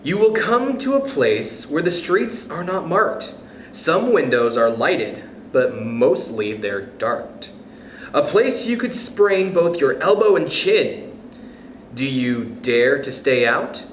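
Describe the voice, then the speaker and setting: Young man, children's storyteller, lively sing-song pitch, slow unhurried pace, echoey room with faint hum. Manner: gentle, animated read-aloud with soft chuckles and asides. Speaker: youth club storyteller. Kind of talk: reading a picture book aloud to children.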